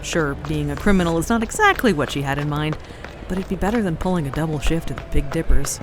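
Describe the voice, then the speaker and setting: A woman's voice: low voice, lively intonation, fast, noisy background. A woman giving scripted, dramatic narration for a sci-fi audio drama. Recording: music bed behind narration.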